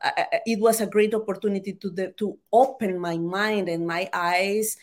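Casting: Salvadoran-accented woman, Spanish native, hesitant interview guest, mid pitch. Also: fairly even intonation. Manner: halting, many restarts and fillers. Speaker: author and journalist guest.

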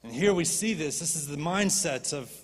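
A man preaching a sermon as a pastor, animated and rising to impassioned pleading. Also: a little high, normal speed. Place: church stage. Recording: long reverb of a church hall.

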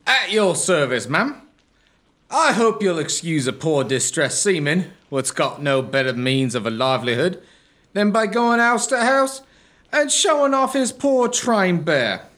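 A man's voice: high voice, big pitch swings, unhurried, fairly bright tone, full character voice. A man playing a wily suitor posing as a humble old sailor showman, folksy and ingratiating, in an audio comedy. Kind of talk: comic audio drama acting.

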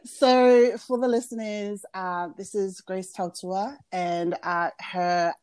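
A woman's voice: medium pitch, fairly even intonation, slow, darkish tone, slight background noise. A woman hosting a casual podcast interview, chatty and rambling, with lots of fillers and restarts. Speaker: podcast host.

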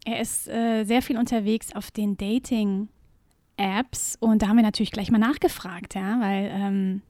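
A woman's voice: mid-range voice, fairly even intonation, measured pace, a little mellow, dry recording, studio quality. A German woman co-hosting a podcast, chatting casually with her co-host and often using fillers and laughing. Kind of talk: casual chat. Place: home room with windows closed.

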